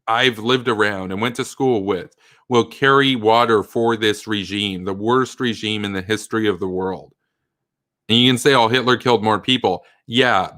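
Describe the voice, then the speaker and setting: A man's voice: on the low side, fairly bright tone, dry recording, clean studio sound. A man hosting a political live stream, reading chat questions and answering in a blunt, rambling, emphatic way with many fillers. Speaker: political commentary host.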